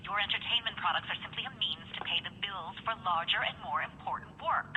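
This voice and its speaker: An actress playing a cold, commanding corporate executive in a video game, curt and condescending. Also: female voice, mid-range voice, fast, very noisy, lightly acted. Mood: stern. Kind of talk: video game cutscene acting.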